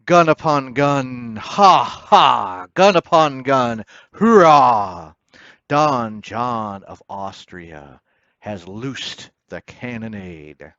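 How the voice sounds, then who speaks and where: A man's voice: lowish voice, a bit bright, no room sound. A man giving a dramatic poetry reading in a theatrical, solemn, declamatory manner, with dull, muffled sound. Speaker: poetry reader.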